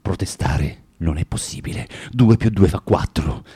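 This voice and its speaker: An actor playing a bitter, tormented man of forty in a theatrical radio monologue, halting and repeating himself as he swings between muttering and outbursts. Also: low voice, warm tone, husky, heavily acted. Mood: defiant, exasperated. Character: bitter, tormented forty-year-old underground man, spiteful and self-contradicting.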